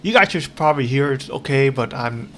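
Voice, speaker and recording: A man chatting casually on a livestream while he plays a game, rambling in a halting, repetitive way. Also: mid-range voice, animated, fairly bright tone, slight background noise. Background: game music.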